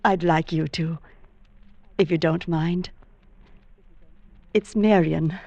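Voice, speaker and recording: An actress playing a lonely, coy bookshop spinster in an old-time radio drama, gushing politely. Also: female voice, fairly low pitch, fairly even intonation, takes it slowly, creaky, darkish tone, no echo, highs cut off, full character voice. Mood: wistful, confiding.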